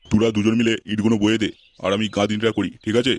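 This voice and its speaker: A chummy, crude site foreman in a comedy cartoon, played by a man talks bluntly. His voice sits on the low side and hurries along, with clear noise behind it. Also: level tone, fast, close to an everyday voice. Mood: matter-of-fact. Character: gossipy, crude male building-site foreman. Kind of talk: amateur comedy cartoon voice acting. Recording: noisy amateur recording.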